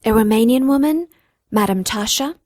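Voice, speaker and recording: A woman's voice: on the high side, moderate pace, a little mellow, no echo, studio quality, natural voice. A woman playing a worried, protective single mother, speaking politely and earnestly in a radio drama.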